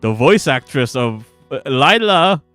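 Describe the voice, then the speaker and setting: A man streams his own game in a halting, stammering way, restarting phrases often. His pitch moves around a lot in a middle register. The sound is dry and close, with faint guitar music underneath. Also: sing-song, fairly bright tone, smooth, dry recording. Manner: stammering, repeats words and phrases. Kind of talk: casual livestream chat with some read-aloud narration.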